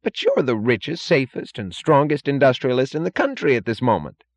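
A man's voice: a little high, wildly swooping pitch, normal speed, no room sound, voice pushed up. A man voicing a timid, appeasing official with a pleading manner. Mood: incredulous, appeasing.

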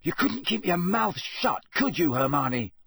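A man voicing a grumpy teenage boy, sulky and sarcastic, with a breathy voice. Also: sits high, sing-song, unhurried, bright, forceful tone, dry recording, clean studio sound, pitched above the performer's own voice, heavily acted. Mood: angry.

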